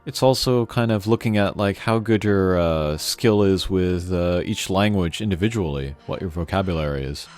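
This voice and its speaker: A man's voice: deep voice, moderate pace, hardly any pauses, darkish tone, soft background music. A man giving casual, rambling, reflective commentary on a video game.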